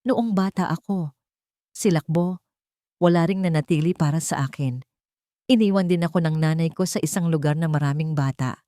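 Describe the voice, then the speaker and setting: A woman voicing a gentle, steadfast young housemaid in a story, speaking tenderly and earnestly. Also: sits low, moderate pace, long pauses, dry recording, studio quality, natural voice. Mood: wistful, tender.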